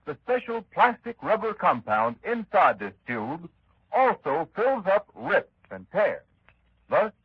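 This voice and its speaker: A man's voice: high voice, husky, no echo. A man announcing and narrating from a script in 1930s radio style, with emphatic, dramatic phrasing. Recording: dull 1930s radio recording.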